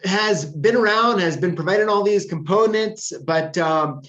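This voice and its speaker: A male host runs a casual interview, his voice a little high for a man. He rambles eagerly, stacking "um"s and "you know"s, and the audio comes through dull and muffled. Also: measured pace, fairly bright tone. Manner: rambling, enthusiastic, many fillers.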